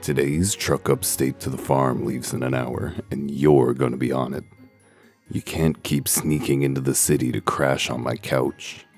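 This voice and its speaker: Man acting a very deep, gravelly wolf detective. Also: unhurried, soft background music. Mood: gruff, impatient. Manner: deadpan, blunt. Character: gruff male wolf detective, cynical and humorless. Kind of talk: dramatic comic dub acting.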